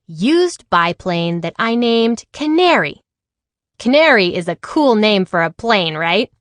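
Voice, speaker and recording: A woman reading a children's picture book aloud in an expressive way, acting out the dialogue. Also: mid-range voice, no echo, clean studio sound. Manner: animated, acts out dialogue. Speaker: read-aloud storyteller.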